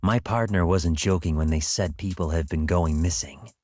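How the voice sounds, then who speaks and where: A man playing a calm, dry police officer giving cautionary advice in a video game. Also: low-pitched, normal speed, dry recording, faint music behind. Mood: calm, cautioning. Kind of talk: voice acting in a dating simulator game.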